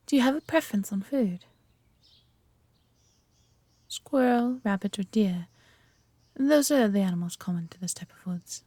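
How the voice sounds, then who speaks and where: A woman's voice: mid-range voice, warm tone, breathy edge, no echo. A woman with an English accent playing a friendly, adventurous elf archer in a roleplay audio drama, talking conversationally to the listener.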